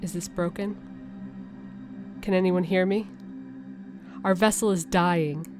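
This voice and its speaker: Woman voicing a desperate alien crew member's distress message, urgent, halting, over faint music. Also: lowish voice, fairly even intonation, a little mellow. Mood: urgent pleading.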